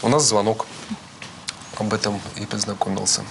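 A middle-aged man in a live radio discussion, speaking reflectively in formal words, halting and deliberate as he builds his point. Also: fairly low pitch, little pitch movement, creaky, a bit bright, slight background noise. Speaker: talk show participant in studio.

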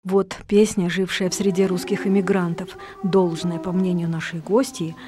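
A woman reporter reading scripted news narration in an even, measured delivery. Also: lowish voice.

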